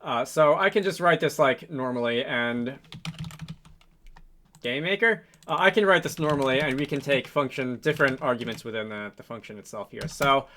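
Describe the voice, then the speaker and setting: A man hosting a casual coding tutorial, thinking aloud in a halting, improvised way with frequent hesitations. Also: mid-range voice, a bit bright, slight background noise. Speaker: tutorial host, game developer.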